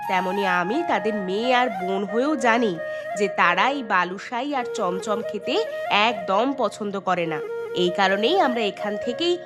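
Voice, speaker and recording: A woman's voice: medium pitch, animated, a little slow, lightly acted. A woman voicing a stern, distrustful mother in a cartoon, with a scolding, emphatic manner. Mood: skeptical, firm. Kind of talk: Bengali cartoon story dialogue. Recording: music behind the voice.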